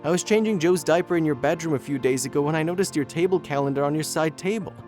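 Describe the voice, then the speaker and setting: An earnest young mother, as a male narrator puts her on, her voice lifted high and light. She sounds kind and sincere, with faint music underneath. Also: quick pace, darkish tone, close to an everyday voice. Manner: raised, lighter storyteller voice.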